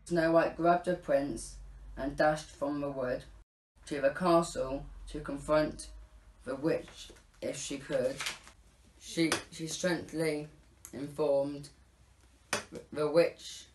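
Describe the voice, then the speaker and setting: Male teen narrator, measured and halting, scripted rhyme, roomy home recording with faint noise. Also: fairly high pitch, fairly even intonation, a little mellow. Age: teenager. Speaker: narrator of a Scouts pantomime. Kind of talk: scripted rhyming storybook narration. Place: living room at home, indoors.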